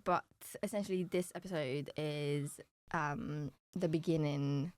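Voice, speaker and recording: A woman's voice: deep voice, fairly even intonation, unhurried, a little mellow, clear, resonant tone, no room sound. A young woman with a Romanian accent, chatting casually as a student podcast co-host. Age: young adult. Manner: giggly, laughs often.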